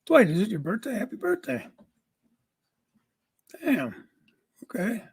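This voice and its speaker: A man with a raspy voice hosting a casual livestream from home, greeting viewers by name and chatting. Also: sits high, huge pitch swings, slow. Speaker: livestream host.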